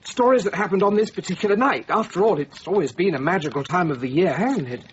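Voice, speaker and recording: An actor playing a polite, inquisitive stranger who keeps asking questions and stammers a little. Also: male voice, sits high, animated, fast, slight background noise. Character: polite, curious visiting stranger. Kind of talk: BBC radio play acting. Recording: slightly dull radio broadcast.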